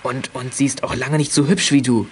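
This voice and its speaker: A man's voice: medium pitch, steady tone, a little fast, a little hiss or hum, natural voice. A young man acting a shy, earnest teenage boy in a children's audio drama, speaking hesitantly. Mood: flustered. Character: shy, flustered teenage boy confessing and pleading innocence.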